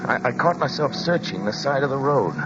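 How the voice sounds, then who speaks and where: An actor playing a haunted, anxious American motorist, intense and dramatic, in old-time radio drama style. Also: male voice, medium pitch, expressive, noisy background, lightly acted. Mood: brooding dread. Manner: intense, dramatic, halting when addressing others. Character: haunted, anxious male motorist telling his story. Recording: muffled vintage radio recording, loud music.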